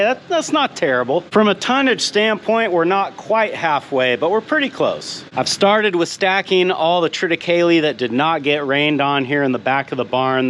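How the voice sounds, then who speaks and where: A man's voice: a little high, fairly bright tone, slight background noise. A young man filming his farm vlog, talking casually through the work and thinking aloud as he goes. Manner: easygoing, thinks aloud and corrects himself. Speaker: farmer and video host. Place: outdoors and in a hay barn on a farm.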